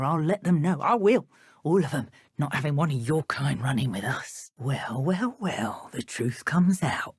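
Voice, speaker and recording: An actress playing a menacing, taunting dark witch in a video game, with a British accent and a contemptuous manner. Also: low voice, slightly rough, no room sound, clean studio sound, exaggerated voice. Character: menacing, sneering dark witch poacher, adult woman. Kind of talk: video game character voice lines.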